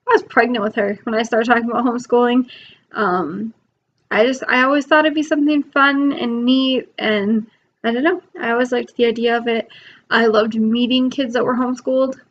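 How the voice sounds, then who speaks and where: A woman's voice: on the high side, fairly bright tone, dull audio. A young mom vlogging from her laptop, chatty and rambling with lots of ums, her voice a bit worn from being sick. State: recovering from illness, losing her voice. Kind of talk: casual talk to camera. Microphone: laptop mic.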